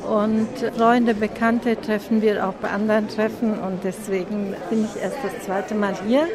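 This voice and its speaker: A middle-aged woman is interviewed at a busy event. She answers reflectively at a medium pitch. Plenty of chatter surrounds her. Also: level tone, unhurried. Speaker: event visitor being interviewed. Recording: busy crowd chatter behind. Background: crowd in a public space.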